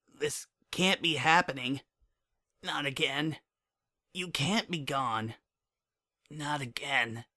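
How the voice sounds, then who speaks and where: A man's voice: on the high side, slow pace, pauses often, pushed and bright, pitched above the performer's own voice, exaggerated voice. A man voicing a brash young female pegasus pony, desperate and grieving, in a dramatic story reading. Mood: grieving, pleading. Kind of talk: dramatic fan fiction reading.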